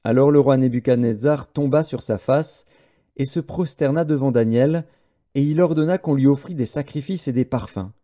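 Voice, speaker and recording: A man narrating scripture as an audiobook in a solemn, measured way. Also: mid-range voice, dark tone.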